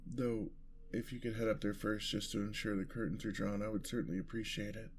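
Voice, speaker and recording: A man playing a gentle, lovestruck, world-weary vampire in an ASMR roleplay, with courtly, flowery and tender phrasing. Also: on the low side, little pitch movement, a little fast, a little hiss or hum, natural voice. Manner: formal, flowery, tender old-fashioned phrasing.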